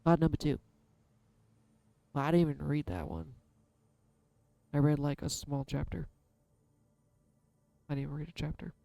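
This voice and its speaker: A soft-spoken man musing aloud in a candid, self-deprecating way as he gives a casual tarot reading. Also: on the high side, lively intonation, normal speed, creaky, long pauses, no room sound. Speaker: tarot reader. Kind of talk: casual reflective vlog.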